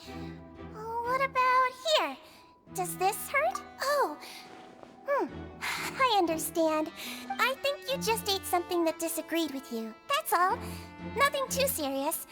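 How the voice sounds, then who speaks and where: Petite caring game nurse, high sweet pitch, soothing, polite, music underneath. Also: female voice, sing-song, a little slow, exaggerated voice. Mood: calm, clinical. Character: small gentle head nurse, female, calm and reassuring. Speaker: medic. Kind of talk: video game voice acting. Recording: music behind the voice.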